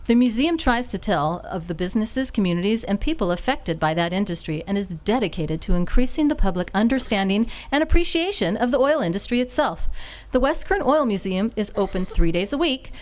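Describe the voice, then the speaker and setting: A woman's voice: medium pitch, big pitch swings, fairly quick, a little mellow. A woman reading an informative promotional announcement. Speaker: museum ad narrator. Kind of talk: scripted promotional read.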